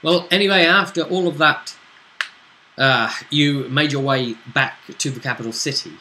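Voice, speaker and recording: Bright-voiced British man running a game. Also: a little high, normal speed, slight background noise. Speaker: game master. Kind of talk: casual recap of a tabletop role-playing game.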